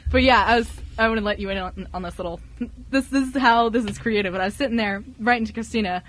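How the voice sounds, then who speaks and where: Young female radio host, higher-pitched, giggly, excitable, casual group banter, slightly noisy amateur recording. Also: fast. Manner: giggly, excitable, animated quoting of others. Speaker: radio show host and script writer. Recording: faint background noise, amateur radio recording.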